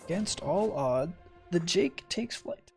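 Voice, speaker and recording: A man's voice: sits high, expressive, takes it slowly, gravelly, faint music behind. A man giving casual, sarcastic commentary while playing a video game, with muttered asides. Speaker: gameplay streamer.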